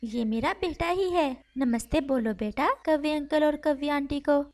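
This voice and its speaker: A woman's voice: sits high, measured pace, darkish tone. A woman voicing a kindly, hospitable mother sparrow in a cartoon story, speaking politely and welcomingly. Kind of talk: Hindi cartoon storybook dialogue.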